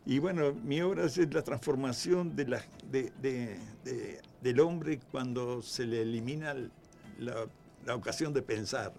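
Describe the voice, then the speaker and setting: A man's voice: medium pitch, measured pace, creaky, darkish tone, a little hiss or hum. A man speaking as an artist guest in a radio interview, hesitating and restarting his phrases.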